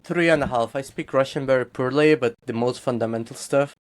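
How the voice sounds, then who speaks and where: A man's voice: medium pitch, fairly quick. A man, a guest chatting candidly in an interview, rambling with restarts and frequent laughs. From Guatemala. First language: Spanish. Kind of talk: casual interview chat.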